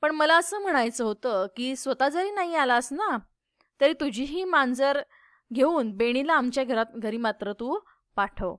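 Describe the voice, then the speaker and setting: A woman reading the lines of a self-absorbed male landowner who only talks about a cat, in a slow, insistent classroom reading. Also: high-pitched, expressive, fairly bright tone, no room sound, studio quality. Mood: coaxing. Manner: self-absorbed, insistent, ignores the other speaker.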